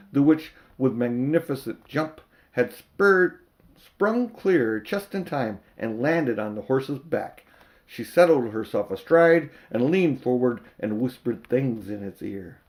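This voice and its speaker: Older male storybook narrator, mid-pitched, expressive, homespun reader who leans into dramatic words. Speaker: amateur audiobook reader.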